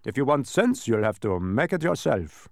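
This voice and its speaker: A man voicing a fussy, pedantic, excitable dodecahedron creature in a storybook, with an emphatic, scolding manner. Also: mid-range voice, moderate pace, vocal fry, no room sound, clean studio sound, pitched above the performer's own voice. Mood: pedantic, cheerful. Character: fussy, exacting many-faced dodecahedron, male, excitable.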